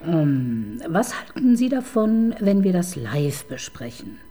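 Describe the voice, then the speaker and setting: Bossy husky female detective chief. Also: fairly low pitch, very animated, takes it slowly, mellow, full character voice. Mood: dry. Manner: commanding, impatient, sarcastic. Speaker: police detective chief inspector. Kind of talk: radio crime drama acting.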